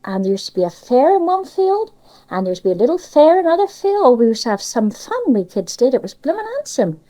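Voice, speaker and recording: A woman's voice: high-pitched, very animated, fast, warm tone, poor audio. An older woman from Cornwall with a Cornish accent, chatting casually about her memories in dialect with lots of tag questions. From Cornwall, England. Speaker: interviewee sharing local memories. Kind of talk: casual reminiscing chat.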